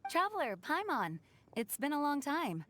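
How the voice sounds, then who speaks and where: A woman's voice: sits high, big pitch swings, normal speed, a bit bright, slight background noise. A woman playing an earnest, courteous knight commander in a video game, formal and composed. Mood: sincere. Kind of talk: video game character acting.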